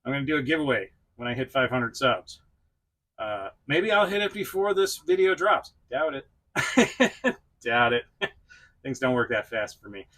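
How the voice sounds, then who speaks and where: A middle-aged man speaks as the host of a casual video review. His voice is bright and forward, at an ordinary male pitch. He is chatty and self-deprecating, talking directly to his viewers in an informal way. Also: very animated, a little slow. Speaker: toy review channel host. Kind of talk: casual vlog-style review to camera.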